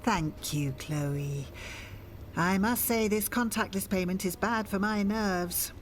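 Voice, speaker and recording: A woman's voice: medium pitch, takes it slowly, lightly acted. An actress playing an imperious, scheming, genteel middle-aged mother in a radio soap, formal and arch.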